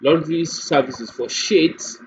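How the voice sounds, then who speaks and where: A man giving an unscripted screen-share software tutorial, explaining step by step and repeating points for emphasis. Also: fairly high pitch, takes it slowly. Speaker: software demo presenter. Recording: muffled lo-fi audio, clear background noise.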